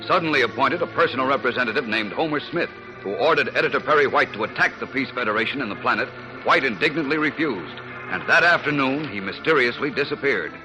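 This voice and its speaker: A man's voice: mid-range voice, poor audio. A man narrating a 1940s radio serial in a dramatic, portentous announcer's manner.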